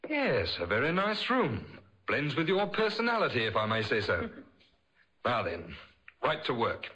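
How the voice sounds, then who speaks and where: Young handyman, radio drama, glib sales patter, ingratiating, husky, animated intonation. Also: medium pitch, unhurried, full character voice. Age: young adult. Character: smooth-talking young door-to-door repairman, ingratiating and pushy. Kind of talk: old-time radio drama acting.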